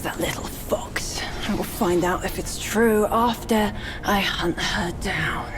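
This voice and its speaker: A woman's voice: mid-range voice, takes it slowly, in a whisper, lightly acted, rough recording. An actress playing a vain, cruel demon woman, taunting and theatrical, in a game cutscene. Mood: menacing. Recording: game cutscene with loud music.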